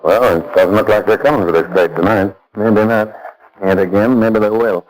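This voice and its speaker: A man's voice: fairly low pitch, steady tone, moderate pace, music in the background, close to an everyday voice, low-quality recording. A male radio actor playing an easygoing, businesslike police detective with colloquial speech on an old 1930s recording. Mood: watchful.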